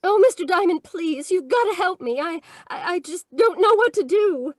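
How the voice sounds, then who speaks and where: A woman's voice: very high voice, lively intonation, moderate pace, dry recording, clean studio sound. A woman playing a frightened, scatterbrained young client in an old-time radio comedy, breathless and dithering.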